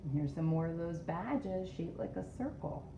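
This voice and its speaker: A woman's voice: lowish voice, lively intonation, moderate pace, recorded from a distance. A woman reading a picture book aloud to young children and explaining it as she goes. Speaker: storybook read-aloud reader. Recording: roomy echo, dull sound, faint noise.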